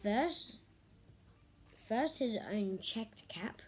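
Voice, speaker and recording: An informal, youthful-sounding storyteller hosting a picture book read-aloud, sometimes halting and repeating words. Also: medium pitch, animated, breathy edge. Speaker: story reader and host.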